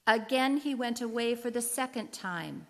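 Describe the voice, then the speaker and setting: A woman narrating scripture in a deliberate, clearly phrased way, in a reverberant hall. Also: fairly high pitch, animated. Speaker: narrator in a church readers' theater.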